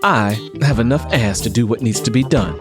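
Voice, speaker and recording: An audiobook narrator voicing a feisty, persistent young woman, snippy, bold and sharp-tongued. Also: medium pitch, moderate pace, music in the background, close to an everyday voice. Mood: defiant, fiery. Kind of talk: audiobook character dialogue.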